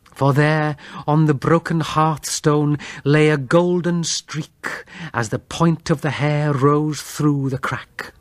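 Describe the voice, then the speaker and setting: A man narrating a gothic horror story in a breathy, husky voice, with grave, suspenseful, deliberate phrasing. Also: on the high side, steady tone, no echo. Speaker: audiobook narrator.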